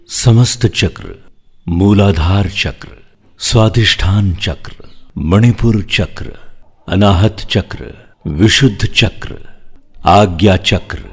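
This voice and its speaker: A middle-aged man delivering scripted voice-over narration in a theatrical, measured and deliberate manner. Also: mid-range voice, husky. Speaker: voice-over artist and actor.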